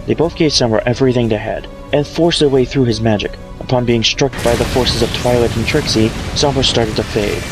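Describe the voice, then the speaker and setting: Guy reading a story, dramatic, bit of fry in his voice, music behind, homemade mic. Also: mid-range voice, fairly quick, hardly any pauses, low-quality recording. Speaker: fan fiction reader. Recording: music behind, amateur microphone.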